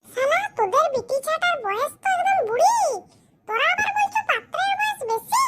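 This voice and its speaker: A man voicing an excitable, cheeky cartoon villager in comedy dialogue, complaining colloquially through a pitched-up effect. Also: fairly quick, over music. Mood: indignant. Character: squeaky, excitable cartoon villager at a matchmaking visit. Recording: clearly noisy audio with clicks.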